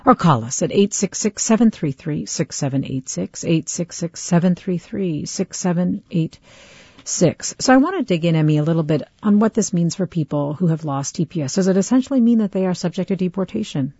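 A woman hosting a public radio call-in show, speaking in a measured, clear way. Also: lowish voice, animated, warm tone, dry recording, muffled recording.

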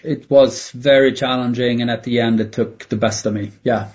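A man's voice: mid-range voice, steady tone, moderate pace, a little mellow, slightly rough, lo-fi sound. A middle-aged man from Sweden, a native Swedish speaker with a Swedish accent, answering interview questions in a candid, reflective, conversational way. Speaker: tech entrepreneur interviewed as a guest.

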